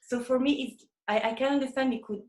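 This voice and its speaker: A woman from Italy with an Italian accent, a photographer talking in an interview and pausing often to find her words. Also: high voice, steady tone, darkish tone, reverberant. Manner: hesitant, searching for words with many fillers. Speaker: photographer, guest.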